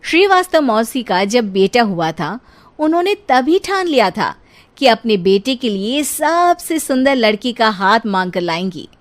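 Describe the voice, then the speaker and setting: A woman's voice: fairly high pitch, sing-song, unhurried. A woman narrator telling a scripted comic family tale. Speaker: story narrator.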